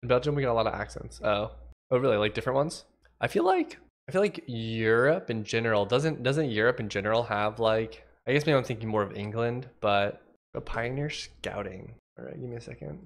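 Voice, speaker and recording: A man's voice: medium pitch, animated, brisk. A young man from Washington state with a slightly nasal, congested voice and a cough, chatting casually with his livestream chat while he codes. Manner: chatty, thinks aloud, reads chat aloud. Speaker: livecoding streamer.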